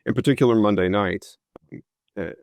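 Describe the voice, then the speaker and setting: A man's voice: fairly low pitch, normal speed, a little mellow, dry recording. A man, a historian answering interview questions with many fillers and restarts. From United States. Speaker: historian guest.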